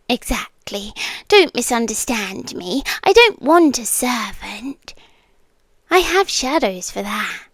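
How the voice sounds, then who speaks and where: A British woman playing a possessive, lonely immortal monster in an intimate ASMR roleplay, speaking deliberately and teasingly and often slipping into whispers. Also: high-pitched, no room sound, heavily acted. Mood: teasing, imperious. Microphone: close microphone, dry.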